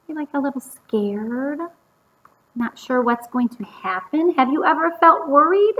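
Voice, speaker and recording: A woman reading a story aloud to young children, pausing to explain and ask them simple questions. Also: high voice, lively intonation, dull audio.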